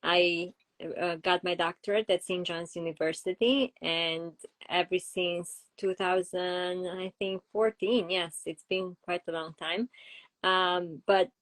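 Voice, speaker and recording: A woman's voice: on the low side, fairly even intonation, slow pace, fairly bright tone. A middle-aged woman from Romania with a Romanian accent, a child psychologist being interviewed, explaining ideas through examples with frequent fillers. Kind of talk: interview conversation.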